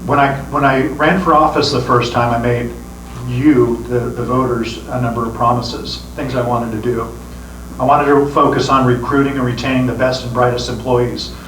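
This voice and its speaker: A man's voice: medium pitch, a bit bright. Earnest man giving a campaign speech. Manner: earnest, repeats words when starting phrases. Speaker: sheriff running for re-election. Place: meeting room with an audience. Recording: echoey room, distant mic, background noise.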